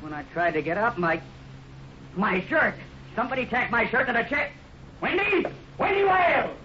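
A man's voice: extremely high pitch, expressive, moderate pace, bright, forceful tone, slight background noise, full character voice. A man playing a firm, fair-minded ranch foreman, authoritative and admonishing, in a 1950s radio western. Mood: outraged. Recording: muffled old radio with crowd sounds.

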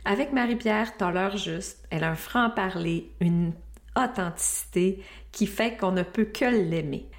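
A woman's voice: medium pitch, unhurried. Podcast host: woman, middle-aged, Quebec French accent. Effusive, encouraging, mid-range voice. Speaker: podcast host, coach and speaker. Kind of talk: podcast introduction and interview.

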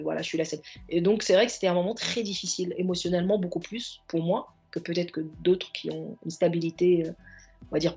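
A woman's voice: lowish voice, gravelly, a little mellow. A woman therapist and coach, a podcast guest, speaking earnestly in reflective interview talk and often circling back to find her words. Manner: earnest, searching for words, stacking restarts.

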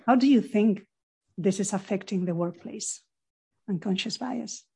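Woman, panel moderator, breathy voice, inquisitive. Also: mid-range voice, a little slow, warm tone. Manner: affirming, inquisitive.

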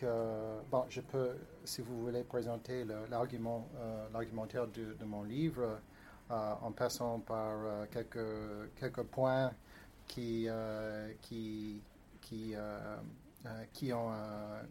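A man speaking French as a second language, a historian on a panel, pausing often with fillers and repeating words as he reads out his prepared remarks. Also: fairly low pitch, level tone, a little mellow, a little hiss or hum. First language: not French. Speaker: historian and author, panel guest. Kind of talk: academic panel discussion, partly reading prepared text.

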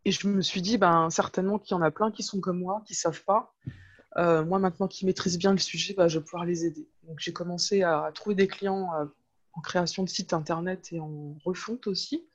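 A woman's voice: lowish voice, fairly even intonation, brisk. Woman chatting on a dull, lo-fi recording. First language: French. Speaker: podcast guest, web entrepreneur. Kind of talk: casual interview, personal storytelling.

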